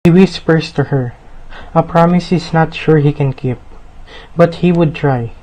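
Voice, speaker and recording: A man's voice: on the high side, measured pace, mellow, low-quality recording. A man narrating a radio drama as a scripted storyteller.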